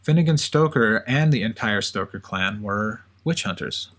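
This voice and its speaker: A man's voice: lowish voice, lively intonation, normal speed, a bit bright. A man hosting a podcast with conversational, semi-scripted storytelling. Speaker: podcast co-host.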